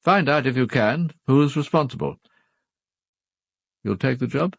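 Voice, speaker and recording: An actor playing a formal, upper-class British knight in a radio drama, speaking in a clipped, matter-of-fact way. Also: male voice, medium pitch, lively intonation, a little slow, vocal fry, no room sound. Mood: brisk, businesslike. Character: formal upper-class husband, a titled knight hiring a detective.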